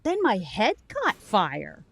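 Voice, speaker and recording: A sharp, caring woman played by a voice actress talks slowly and deliberately, with very expressive, varied pitch. She delivers her audio drama lines in a pointed way. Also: creaky, heavily acted. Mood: playful. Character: sharp, playful, concerned woman on a date.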